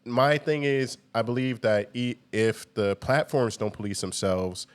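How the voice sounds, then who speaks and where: A man co-hosting a podcast, giving his opinions in an earnest, steady way. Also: mid-range voice, unhurried, clear, resonant tone. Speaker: podcast co-host. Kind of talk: casual chat.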